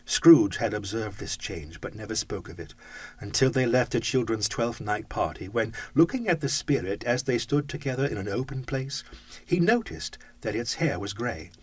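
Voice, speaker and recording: A man's voice: medium pitch, expressive, normal speed, slightly rough. A man reading a classic story aloud, with dramatic, rhythmic phrasing. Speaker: audiobook reader. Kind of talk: expressive storybook narration.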